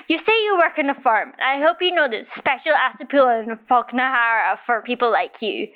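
A woman's voice: high-pitched, phone-quality sound. A woman playing a sanctimonious, indignant mother posting online comments in an audio story. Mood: haughty outrage. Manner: indignant, pleading, preachy. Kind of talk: dramatized reading of internet comments.

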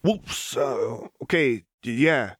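Man acting earnest young guy, audiobook fighter, raised pitch, hesitant, stammering, awkward. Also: lively intonation, slow pace, fairly bright tone, breathy edge, no room sound, studio quality, exaggerated voice.

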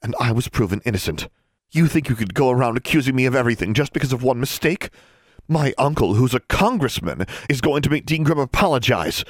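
A man's voice: fairly low pitch, quick pace, few pauses, husky, no room sound, studio quality, voice pushed up. A man voicing a haughty, spiteful schoolgirl in an audiobook, with a smug, sneering, accusing manner.

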